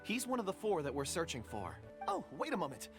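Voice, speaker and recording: Gentle earnest young man, low for a woman, expressive, thoughtful, game miner, music behind. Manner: measured, thoughtful, polite. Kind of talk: video game voice acting.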